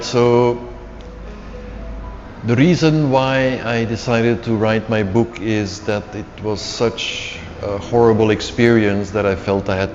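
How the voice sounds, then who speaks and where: A middle-aged man whose first language is Dutch, telling his story as an author in a halting way, often repeating words and restarting. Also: on the low side. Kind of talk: casual spoken account. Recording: dull sound with long reverb and faint music.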